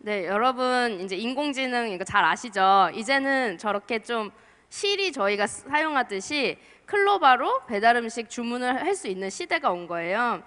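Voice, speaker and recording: A woman's voice: a little high, expressive, moderate pace. Young female marketer, slightly under the weather, casual presenter in an echoing hall. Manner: chatty, soft-spoken, with small laughs and restarts. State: has a cold, voice strained. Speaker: marketer giving a conference talk. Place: large lecture hall. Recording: long hall reverb.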